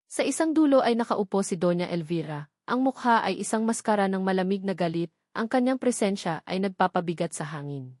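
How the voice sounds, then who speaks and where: A woman narrator reading a scripted dramatic story in a measured, solemn storytelling manner. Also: mid-range voice, animated, a little mellow, dry recording, clean studio sound.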